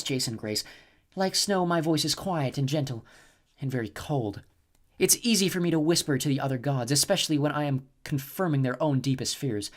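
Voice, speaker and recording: A man's voice: a little high, pitched above the performer's own voice, natural voice. A man putting on a purring icy snow goddess. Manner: soft, purring, icy and gloating. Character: cold scheming female snow goddess, purring.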